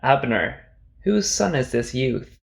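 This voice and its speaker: A man plays a grave older king in a scripture drama, with a creaky, gravelly edge. His delivery is measured and authoritative, at an average pitch for a man. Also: animated. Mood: grave, questioning.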